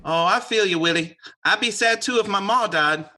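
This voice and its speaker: A woman's voice: medium pitch, moderate pace, bright, forceful tone, close to an everyday voice. A woman playing an eager, pleading young country boy with a Southern US accent in an audio drama. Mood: coaxing, sad. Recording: noisy, with clicks.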